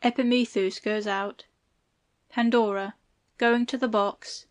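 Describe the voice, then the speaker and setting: A woman's voice: a little high, fairly even intonation, slow pace. A woman reading stage directions as the narrator of an audiobook play.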